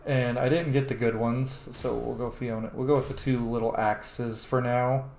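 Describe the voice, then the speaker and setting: A man's voice: medium pitch, flat delivery, moderate pace, a little mellow, slight background noise. A man giving casual let's-play commentary, thinking aloud in an informal, sweary way. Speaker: gaming streamer. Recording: roomy echo, faint game music. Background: game music.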